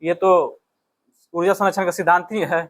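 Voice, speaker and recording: A man's voice: sits high, little pitch movement, brisk, fairly bright tone. A man with an Indian accent giving an instructional exam lesson as a teacher, repeating key phrases and checking in with short tags. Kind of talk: physics exam lesson, working through questions.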